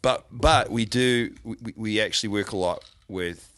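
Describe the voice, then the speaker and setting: Kiwi guy chatting on a podcast, low voice, dry close sound, easygoing. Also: moderate pace, a bit bright, dry recording. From New Zealand. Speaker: guest, firearms instructor. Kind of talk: casual podcast chat.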